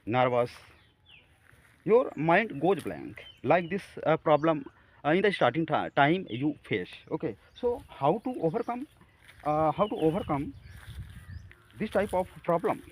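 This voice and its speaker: A man from India with an Indian accent, a Hindi speaker, talking haltingly to camera about practicing English, with many fillers and restarts. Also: on the high side, sing-song, slightly rough. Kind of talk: casual talk to camera.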